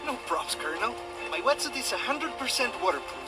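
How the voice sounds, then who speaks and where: Excitable, high-pitched young American superhero. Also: male voice, fairly quick. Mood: joking. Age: young adult. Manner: cocky, joking, foul-mouthed. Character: wisecracking, starry-eyed young male amateur masked superhero. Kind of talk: video game dialogue and first-person narration. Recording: loud music over the voice.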